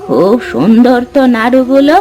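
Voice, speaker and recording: A woman's voice: fairly high pitch, expressive, moderate pace, mellow, close to an everyday voice. A woman playing a weary, kindly old village woman in a cartoon fairy tale, with a sighing, affectionate manner. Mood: kindly, pleased. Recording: music behind the voice. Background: clear background music.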